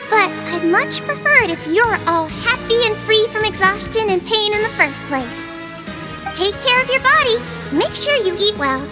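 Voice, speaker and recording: A little nonhuman nurse in an animated game. The voice is extremely high, sweet and childlike, with a gentle, polite, caring manner. Music is clearly heard behind it. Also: female voice. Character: small sweet nonhuman creature nurse, caring and serenely odd. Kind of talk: animated video game dialogue.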